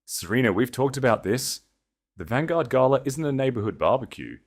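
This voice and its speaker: A man's voice: mid-range voice, animated, brisk, voice pushed up, lightly acted. A man voicing a dismissive, ambitious, snobbish husband with casual contempt in an audiobook. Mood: condescending.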